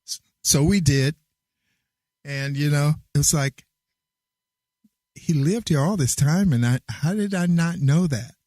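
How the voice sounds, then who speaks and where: Middle-aged male host, slow-talking and easygoing, dry close sound. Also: fairly high pitch, no echo. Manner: relaxed, unhurried, friendly questioning. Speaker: podcast host. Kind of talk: casual conversational interview. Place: Nashville.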